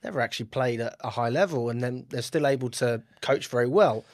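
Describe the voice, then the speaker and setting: A man hosts a relaxed interview in an ordinary mid-range voice. He asks questions loosely, often restarting them as he goes. The recording is dry and close. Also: mid-range voice, a little fast, no room sound. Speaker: interviewer host. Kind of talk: casual interview chat.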